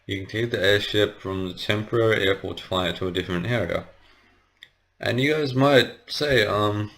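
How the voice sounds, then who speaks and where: A man's voice: lowish voice, a bit bright, slight background noise. A man giving a casual, rambling let's play commentary, hesitant and often correcting himself as he explains. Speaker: gaming video host.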